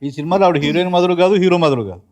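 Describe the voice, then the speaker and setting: A man's voice: high-pitched, quick pace, a little mellow. A man talking casually about actors in an interview. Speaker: film team member, interviewee.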